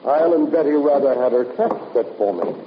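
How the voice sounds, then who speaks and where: An actor playing a pompous, eccentric professor of psychic research in a 1950s radio drama, slyly teasing in a mannered way. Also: male voice, mid-range voice, lively intonation, fairly quick, lightly acted. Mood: cryptic, smug. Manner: theatrical, mannered, ponderous. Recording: muffled, noisy, echoey old radio recording.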